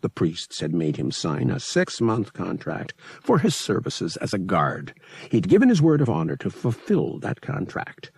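A man narrating a science fiction audiobook as a scripted, expressive dramatic story. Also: lowish voice, unhurried, vocal fry, husky, dry recording.

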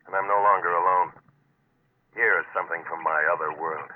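An actor playing a cool, laconic deep-sea diver in an old American radio drama, dry and matter-of-fact with an American accent. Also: male voice, fairly even intonation, brisk. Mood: brooding narration. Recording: noisy, narrow-band old radio recording.

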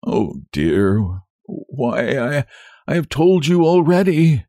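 A weary, sick country doctor from an old tale as a man narrator acts him. He mutters and grumbles with reluctant hesitation, his pitch moving freely, recorded very dry. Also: big pitch swings, slow, warm tone, no room sound. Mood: exasperated, muttering. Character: exhausted, feverish, reluctant male country doctor.

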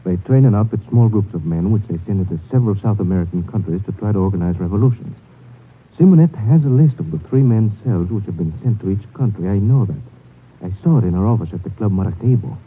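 A man's voice: lowish voice, lively intonation, fast, close to an everyday voice. A man acting an earnest local sidekick and informant in a 1950s radio adventure. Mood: earnest, informative. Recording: muffled, noisy vintage radio broadcast.

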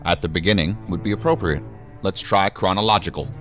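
A man playing a weary, wry assistant director and husband in a science fiction audio drama, articulate and measured. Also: deep voice, a bit bright, faint music behind. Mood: measured, defensive.

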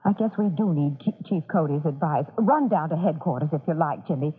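An actress playing a sensible, kindly, firm mother who gently admonishes, in an old-time radio drama. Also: low-pitched, expressive, brisk, husky, exaggerated voice. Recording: muffled lo-fi vintage radio recording.

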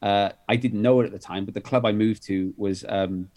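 A middle-aged man from Wales sits as an author guest, talking at length in a fairly low voice. He circles back on himself often, with restarts and fillers, as he works through his thoughts. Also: steady tone, fairly quick, a little mellow. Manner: rambling, many restarts and fillers. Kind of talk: conversational interview.

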